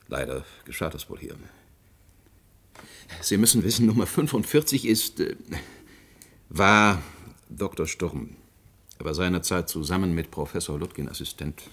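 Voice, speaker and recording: Deep, careful expert witness. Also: male voice, lively intonation, moderate pace, fairly bright tone, breathy edge, exaggerated voice. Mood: uneasy, explanatory. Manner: hesitant, formal, explanatory. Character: careful male expert witness explaining an incident. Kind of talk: German radio play acting.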